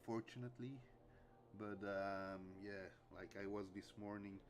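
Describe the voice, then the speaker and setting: Slow, low-voiced Dutch-accented man. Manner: relaxed, chuckles often, reads chat aloud. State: recovering from a flu. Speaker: cosplay mask maker streaming. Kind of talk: casual livestream chat while crafting. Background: faint music.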